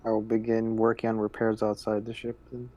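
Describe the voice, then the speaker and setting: Flat-toned man playing a roleplaying game. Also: fairly low pitch, fairly quick. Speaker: tabletop roleplaying player.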